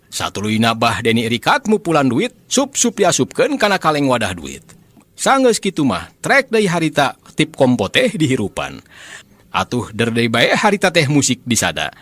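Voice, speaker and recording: A man with a Sundanese accent telling a comic folk tale in a lively, chuckling way, speaking straight to his listeners. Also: fairly high pitch, moderate pace, no echo. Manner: chuckles often while narrating, addresses listeners. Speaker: storyteller. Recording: noisy, hissy recording.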